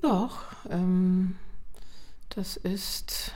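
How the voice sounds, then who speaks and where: A middle-aged woman from Munich, chatty and expressive, telling personal stories as an interview guest. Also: on the low side, extremely slow. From Munich, Germany. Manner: chatty, expressive, acts out remembered remarks. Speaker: interview guest, children's songwriter and singer. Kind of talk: animated personal storytelling.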